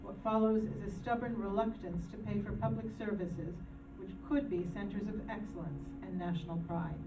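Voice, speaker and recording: A woman's voice: mid-range voice, steady tone, moderate pace. A woman reading a newspaper opinion piece aloud as part of a charity show. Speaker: reader in a charity poverty show. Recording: echoey, distant, phone-like, clear music behind.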